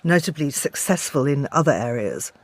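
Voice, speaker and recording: Lively woman radio news host. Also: extremely low pitch, dry recording. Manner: brisk, lively broadcaster delivery. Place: radio studio in London. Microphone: studio microphone.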